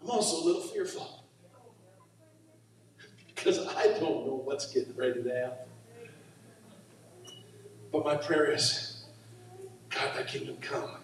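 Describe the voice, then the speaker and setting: A man pastor preaching an impassioned sermon in his church, often breaking into shouts. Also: a little high, expressive, a little slow, long pauses. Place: church sanctuary. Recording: very echoey hall, mic far off.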